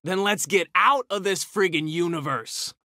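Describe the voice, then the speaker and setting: A man's voice: high voice, normal speed, sharp tone, no room sound, studio quality. A man voicing a cocky, hot-tempered young mutant ninja turtle, trading brash, slangy taunts in a fighting video game. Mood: cocky, taunting. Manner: brash, slangy trash talk.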